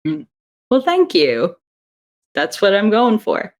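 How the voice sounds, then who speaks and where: A woman's voice: medium pitch, wildly swooping pitch, takes it slowly. Enthusiastic young woman thinking aloud. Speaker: guest, audio drama writer and producer. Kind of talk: casual interview chat.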